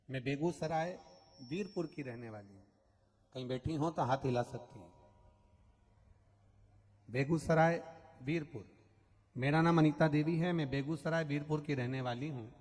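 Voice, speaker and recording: A man's voice: fairly high pitch, a little slow, long pauses, darkish tone, in a large hall. A man preaching a devotional katha sermon, telling stories, repeating phrases and singing lines. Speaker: Shiva Purana storyteller preacher. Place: large outdoor religious gathering.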